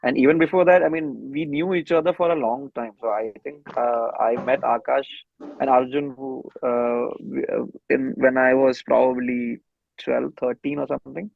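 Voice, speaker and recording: Gravelly Indian man on a phone-like line. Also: mid-range voice, steady tone, normal speed, no echo. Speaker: band musician being interviewed. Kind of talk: casual chat, recounting. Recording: thin phone-like line, dry.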